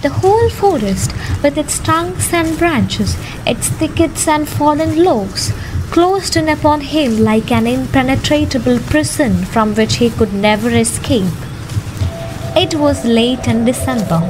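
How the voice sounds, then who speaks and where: A young woman narrates a radio play from a script. Her voice is high, and it sits over clearly audible background noise and ambient sound, as in an amateur production. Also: animated, unhurried. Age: young adult.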